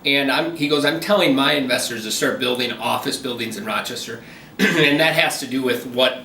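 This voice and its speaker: A man speaking as a commercial realtor, with a bright, forceful voice. He explains deals in a steady, businesslike way with frequent ums. The sound is distant and echoey, with faint noise behind him. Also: medium pitch, moderate pace. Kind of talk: phone interview.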